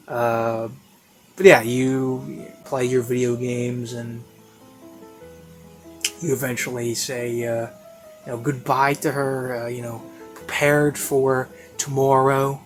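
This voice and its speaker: A man giving a casual, unscripted review in a rambling, hesitant way, often interrupting himself. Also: mid-range voice. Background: classical instrumental music.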